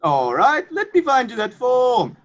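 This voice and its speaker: A woman acts out a petty official in a comic radio play. The voice is rather high and very lively in pitch, yet unbending and matter-of-fact about every rule. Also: a little slow, muffled recording. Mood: officious. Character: unbending, officious bureaucratic clerk, deadpan and obstructive. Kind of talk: comedic radio play acting.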